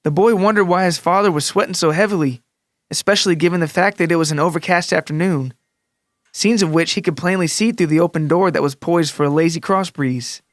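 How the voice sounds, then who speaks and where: A man narrating a scripted literary story for a podcast in a deliberate, dramatic, descriptive way. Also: on the high side, no room sound, studio quality. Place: close, dry recording with music underneath.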